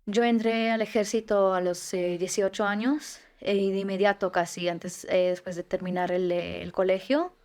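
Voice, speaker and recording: Young woman from israel chatting as a guest, lots of ums and restarts, mid-pitched. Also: fairly even intonation, moderate pace, hardly any pauses, a little mellow. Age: 20s. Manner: hesitant, many fillers and restarts. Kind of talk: casual interview.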